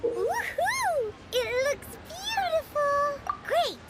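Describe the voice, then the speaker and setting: An extremely high, childlike fairy sidekick from a video game. The squeaky voice bounces up and down with cheerful excitement and bubbly exclamations. Loud noise sits behind it. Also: female voice, extremely slow, exaggerated voice. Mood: excited, delighted. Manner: bubbly, exclaiming, speaking to a traveling partner.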